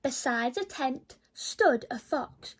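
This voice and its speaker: Slow, very high-pitched woman storyteller. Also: lively intonation. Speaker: storybook reader for children. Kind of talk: expressive read-aloud children's story narration.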